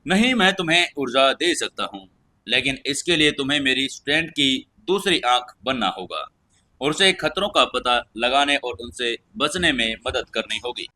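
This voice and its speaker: A persuasive magician mentor from an audio fantasy story, played by a male narrator. He coaxes and insists with earnest scheming in a bright, forceful voice. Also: mid-range voice, expressive, normal speed. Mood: coaxing.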